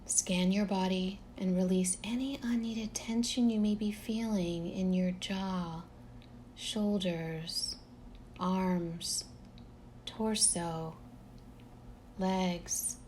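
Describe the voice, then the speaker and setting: A woman leading a guided relaxation meditation in a calm, gentle, unhurried manner, leaving long pauses between phrases. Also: medium pitch, a little hiss or hum.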